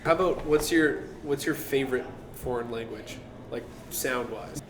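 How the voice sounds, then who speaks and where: A man's voice: mid-range voice, normal speed. A man co-hosting a casual Q&A with joking, playful banter. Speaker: actor co-hosting a Q&A. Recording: echoey room, clear background noise.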